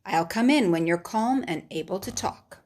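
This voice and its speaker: A woman doing a calm, patient old grandfather. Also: mid-range voice, normal speed, lightly acted. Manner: calm, gently firm.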